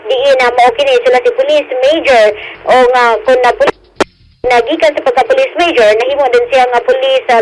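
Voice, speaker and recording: Filipina field reporter on radio, high voice, halting delivery, noisy feed. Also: female voice. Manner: halting, frequent hesitations and repeats. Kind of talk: news report, partly improvised.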